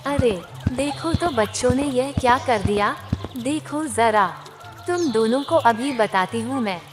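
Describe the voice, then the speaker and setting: A woman voicing a practical, complaining farmer's wife in an animated moral story, with expressive, homely delivery. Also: fairly high pitch, takes it slowly, over music. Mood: alarmed.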